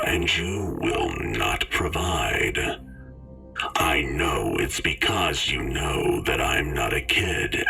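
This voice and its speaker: A man voicing a menacing, demanding male customer in a scary story, in a low, slow, breathy, whispery growl. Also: bright, forceful tone, full character voice. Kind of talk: scary story narration character voice.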